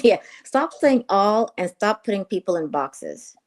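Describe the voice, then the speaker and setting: A woman co-host on a morning talk show who speaks candidly and in a relaxed way. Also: mid-range voice, a little slow. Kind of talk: casual radio talk.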